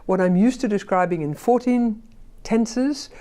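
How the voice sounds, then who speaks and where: Woman, language teacher, mellow tone, emphatic, fond of rhetorical questions. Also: medium pitch, unhurried. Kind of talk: conversational discussion.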